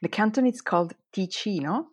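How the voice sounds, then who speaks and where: A woman with an Italian accent, a native Italian speaker, chatting as a podcast guest and often pausing to search for words. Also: mid-range voice, expressive, slightly rough, no echo, studio quality. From Italian-speaking Switzerland. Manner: hesitant, searching for words, frequent fillers and laughs. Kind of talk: casual conversation.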